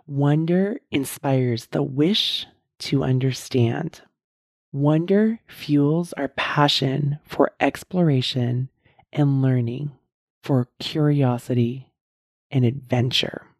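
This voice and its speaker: Middle-aged female podcast host, hoarse and husky, low mellow tone, close dry mic, earnest coaching delivery. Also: no echo. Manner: earnest, encouraging, repeats key points slowly. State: hoarse, strained voice. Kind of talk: casual solo podcast talk.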